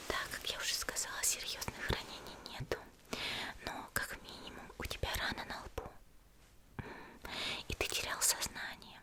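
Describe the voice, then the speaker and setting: A woman playing a petite, gentle and elegant female demon slayer in a whispered ASMR roleplay, soft, reassuring and unhurried. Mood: caring, soothing.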